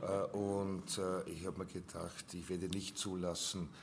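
An older grandfather and author speaking reflectively at a panel evening, measured and given to chuckling. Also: low-pitched, level tone, a little hiss or hum. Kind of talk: panel discussion at a reading.

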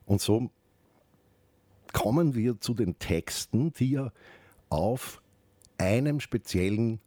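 A man hosting a radio show, explaining things off the cuff in a halting, reflective way with asides. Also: mid-range voice, animated, warm tone, no room sound. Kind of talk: unscripted spoken radio commentary with explanations.

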